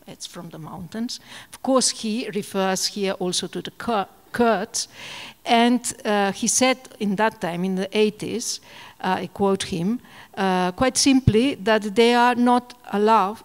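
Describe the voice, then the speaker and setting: A middle-aged woman moderating a literary conversation on stage, thoughtful, trailing softer at phrase ends. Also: medium pitch. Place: large hall, on stage before audience. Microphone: handheld mic. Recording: hall with long reverb.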